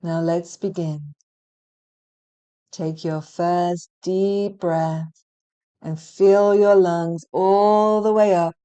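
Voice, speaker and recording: A woman with a fairly low, gentle voice guides a hypnotic relaxation session. Her tone is dark and mellow, and she speaks very slowly. Phrases come in a measured, soothing flow with long pauses between them. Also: steady tone, clear, resonant tone, muffled recording. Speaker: hypnotherapy practitioner guiding meditation. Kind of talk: guided relaxation meditation, hypnotic scripted narration.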